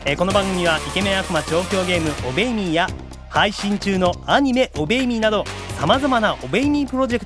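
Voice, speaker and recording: Fast, lively Kansai man hosting a podcast. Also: sits high. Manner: teasing, playful comeback-driven. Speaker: podcast host, voice actor. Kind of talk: casual banter with a guest.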